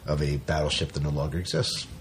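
A man's voice: a bass voice, fairly even intonation, quick pace, soft background music. A man co-hosting a podcast, talking casually about a TV series. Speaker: podcast co-host. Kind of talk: casual chat reviewing a TV series.

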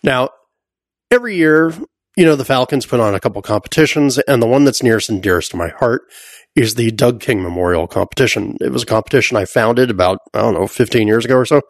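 A man with a mid-pitched voice hosts a casual podcast interview. He talks in a chatty, conversational way, and the sound is dry with very little room. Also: fast talker, dry recording. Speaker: podcast host.

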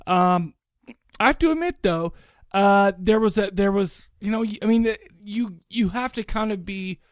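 A man's voice: high voice, normal speed, dry recording, clean studio sound. A man chatting casually as a podcast co-host, talking in an enthusiastic, rambling, colloquial way about music. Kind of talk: casual chat, music review.

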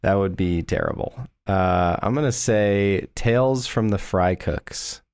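A man's voice: on the low side, a little slow, a little mellow, clean tone, no room sound. A man co-hosting a podcast in casual, joking banter, with a dry, mock-earnest delivery. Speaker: podcast co-host.

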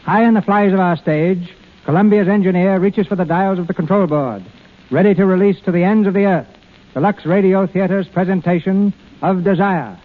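A man's voice: high-pitched, normal speed. A man hosting a radio theater, reading formal scripted narration in a grand, theatrical 1930s announcing manner. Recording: old 1930s radio broadcast, narrow tinny band.

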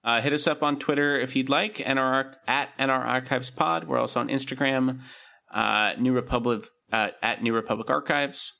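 A man's voice: mid-range voice, normal speed, vocal fry, sharp tone. A man co-hosting a podcast in casual banter, with a laid-back, loose delivery that often trails off. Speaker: podcast co-host.

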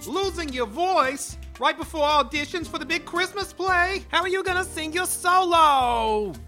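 A woman's voice: extremely high pitch, expressive, unhurried, pushed and bright, soft background music, full character voice. A woman playing a chatty, melodramatic widow of a Halloween town in an audio comedy, with a gushing, dramatic manner. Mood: chatty, concerned.

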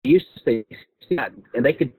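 A man's voice: mid-range voice, animated, fast talker, darkish tone, slightly rough. A man explaining as a guest expert in an informal discussion, often repeating and rephrasing his phrases. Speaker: guest expert on patent law. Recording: roomy, phone-like call audio.

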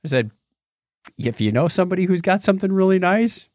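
A man's voice: high voice, animated, brisk, a little mellow, no echo, studio quality. A middle-aged man with an American accent hosting a podcast, telling anecdotes in a casual, chatty way. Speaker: podcast host.

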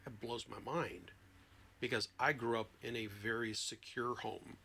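A man with an average-pitched voice that often drops into creak. He speaks as a therapist on a podcast, explaining through anecdotes and acting out bits of dialogue. His delivery is expressive and emphatic. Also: a little slow, a little hiss or hum. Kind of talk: conversational explanation with anecdotes and acted-out quotes.

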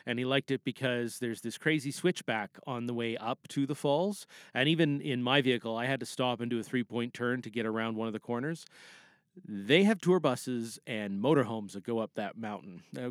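A man's voice: mid-range voice, normal speed, dry recording, studio quality. A man giving a casual, chatty, unscripted recap as a podcast host, rambling from one point to the next.

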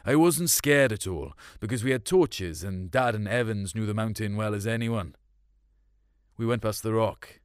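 A man's voice: fairly low pitch, moderate pace, clean tone, no echo, studio quality. A man narrates a short story in the first person, in a colloquial, confiding way.

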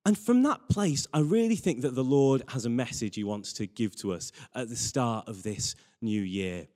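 A man's voice: medium pitch, expressive, normal speed, dark tone. A man preaching a sermon with personal anecdotes, earnest and repeating phrases for emphasis. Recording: reverberant hall.

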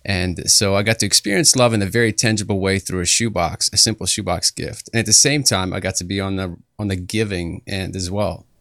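A man's voice: low voice, fairly even intonation, fairly quick, few pauses, fairly bright tone, no room sound, studio quality. A young man from Ukraine giving an earnest personal testimony as an interview guest, often repeating words as he searches for them.

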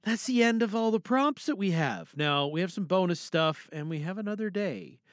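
A man's voice: high-pitched, animated, normal speed, no echo. A man hosting a podcast in a casual, rambling, informal way, close on a microphone in a small booth. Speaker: podcast host. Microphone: boom microphone at a desk.

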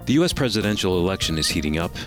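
A man's voice: low-pitched, very animated, rapid-fire, soft background music. An American man working as a radio announcer, reading a scripted introduction and news in broadcast style. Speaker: announcer and co-host. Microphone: close studio microphone.

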